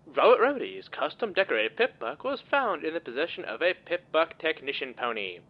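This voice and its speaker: A man's voice: a little high, big pitch swings, brisk. A man playing a pony newsreader giving a formal bulletin over a radio in an audio drama. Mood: formal reporting. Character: radio newsreader pony reporting to an underground shelter. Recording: dull band-limited radio sound.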